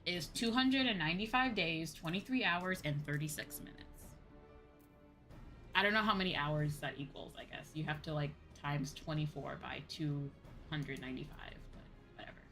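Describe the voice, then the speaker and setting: A woman livestreaming a game in casual, excitable chatter, often exclaiming as she talks. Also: sits low, normal speed, faint music behind.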